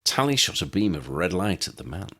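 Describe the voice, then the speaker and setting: Man, very low voice, husky and creaky, expressive story narration. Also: a little fast. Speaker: audiobook narrator.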